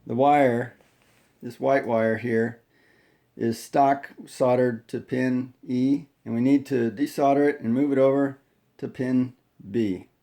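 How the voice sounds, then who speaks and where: Male how-to presenter, unhurried and dark-toned, unscripted, explaining each step haltingly in an echoey room. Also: mid-range voice, steady tone. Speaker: tutorial presenter. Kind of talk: hands-on how-to demonstration, unscripted.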